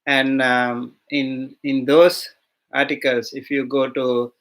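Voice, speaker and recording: A man from Sri Lanka with a Sri Lankan accent, a monk teaching an online beginners' language lesson, unhurried with many fillers and restarts. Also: medium pitch, level tone, a bit bright.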